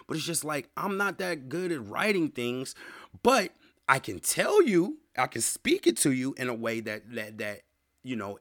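A man's voice: mid-range voice, a bit bright. A man hosting his own podcast alone, talking loosely and conversationally, often checking in with "you feel me" and "man". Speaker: podcast host. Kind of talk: casual solo podcast talk.

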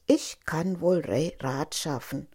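A woman voicing a male talking frog from a storybook, deliberate and insistent as he bargains. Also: deep voice, a little mellow, breathy edge, exaggerated voice. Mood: coaxing, bargaining.

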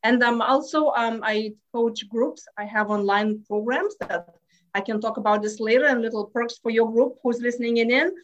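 A woman with a Lithuanian accent talks in a fairly high voice, animated and full of fillers. Her English is slightly broken. The sound is dull and lo-fi, like remote call audio. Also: moderate pace. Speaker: stress management coach. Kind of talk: casual interview storytelling.

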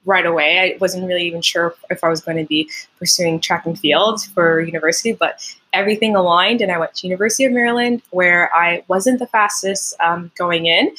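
A woman's voice: on the low side, pushed and bright, slightly rough, rough recording. A young woman from Canada, a native French speaker, talks in a chatty, upbeat way as a guest in an interview, using many fillers. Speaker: Olympic track athlete, guest. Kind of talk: casual interview storytelling.

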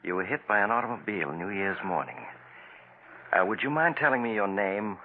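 An American actor playing a calm, authoritative hospital doctor in 1940s radio style, measured and reassuring. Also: male voice, fairly low pitch, slight background noise. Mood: calm, businesslike. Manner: measured, reassuring bedside delivery. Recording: muffled vintage 1940s radio broadcast.

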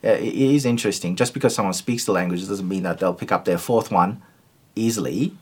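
A man whose first language is Turkish answers interview questions in a lowish voice. He talks slowly and thoughtfully, pausing often and restarting his sentences. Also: darkish tone, slightly rough. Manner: hesitant, many ums and restarts. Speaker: guest. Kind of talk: conversational interview.

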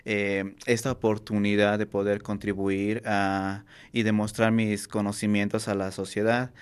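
Earnest young man, low even voice. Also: clear, resonant tone. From Mexico. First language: Nahuatl. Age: young adult. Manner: earnest, halting with many fillers and restarts. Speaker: Nahuatl workshop teacher and university student. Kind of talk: interview answers.

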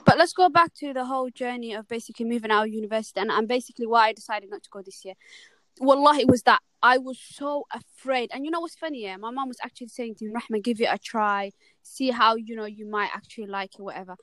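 A chatty young woman with a fairly high voice talks emphatically and keeps checking in with her listener. The sound is dry and close, with no room echo. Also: fast, fairly bright tone. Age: young adult. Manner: chatty and emphatic, asks lots of questions. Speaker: student co-host. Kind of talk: casual chat.

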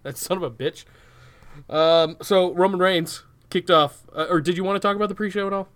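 A man co-hosting a podcast in casual chat, joking and swearing in quick banter. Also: high voice, steady tone, fairly bright tone, clear, resonant tone. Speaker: podcast co-host.

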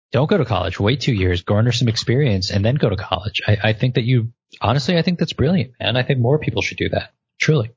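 A man in his late twenties co-hosting a casual chat, animated and often repeating words as he starts a thought. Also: lowish voice, breathy edge, no echo. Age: 20s. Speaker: podcast co-host. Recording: muffled lo-fi audio.

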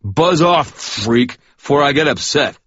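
A man's voice: lowish voice, a little slow, a bit bright, husky, dry recording, dull audio, voice pushed up, exaggerated voice. A man voicing a belligerent, drunken middle-aged electrician who slurs his words with mushy sibilants. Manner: slurred, mushy sibilants, surly.

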